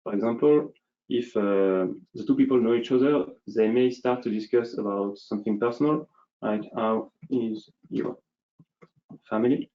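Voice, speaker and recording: A man presenting a software demonstration in an unscripted, explanatory way, hesitantly thinking aloud as he goes. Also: medium pitch, moderate pace, dull audio.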